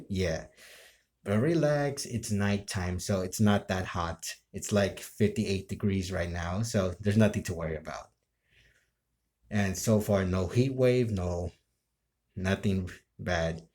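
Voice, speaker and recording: A man chatting casually to his viewers as a video creator, rambling and circling back over halting explanations. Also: deep voice, darkish tone. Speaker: video creator and amateur role-player.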